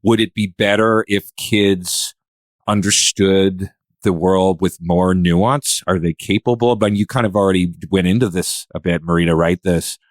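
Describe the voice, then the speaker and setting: A man's voice: deep voice, steady tone, unhurried, no room sound. A man hosting a podcast in a casual conversational style, thinking aloud and musing his way into questions. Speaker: podcast host.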